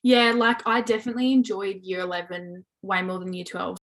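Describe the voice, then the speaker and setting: A woman's voice: medium pitch, flat delivery, a little fast. Aussie girl, 20, podcast guest, super candid, lots of "like", swears a bit. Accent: Australian. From Hobart, Tasmania. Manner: chatty, candid, frequent "like" fillers, swears casually. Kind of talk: casual chat.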